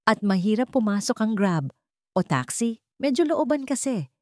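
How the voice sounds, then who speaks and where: A woman's voice: on the high side, very animated, normal speed, a little mellow, no room sound, studio quality, voice pushed up. A woman narrator voicing a poor male mechanic and single father who talks in a plain, matter-of-fact way.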